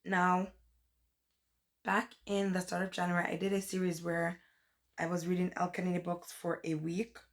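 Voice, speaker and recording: A young woman whose voice is hoarse from a cold, chatting casually and rambling a little as she reviews books in a vlog. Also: lowish voice, monotone, normal speed, pauses often. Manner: chatty, rambling, says "like" and "um" often. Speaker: book vlogger.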